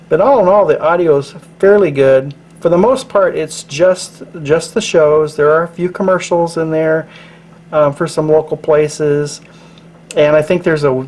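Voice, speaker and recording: Man, fairly high voice, casual explanation, rambling, hesitant, low-key, light background noise. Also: a little mellow. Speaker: channel host.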